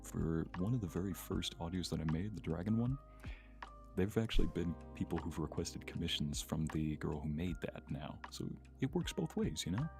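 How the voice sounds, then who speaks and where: A man's voice: low voice, animated, moderate pace. A man chatting casually as a guest on a livestream, with an easygoing, joking, conversational manner. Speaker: guest ASMR narrator on a stream. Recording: clear music behind the voice. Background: music.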